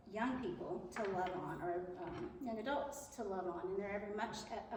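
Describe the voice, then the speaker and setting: A woman's voice: mid-range voice, slight background noise. Earnest woman with a big voice in an echoey hall. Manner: earnest, chatty, self-deprecating, nervous. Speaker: guest speaker at church. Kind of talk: Mother's Day church talk. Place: church sanctuary. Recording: echoey hall, mic from far off.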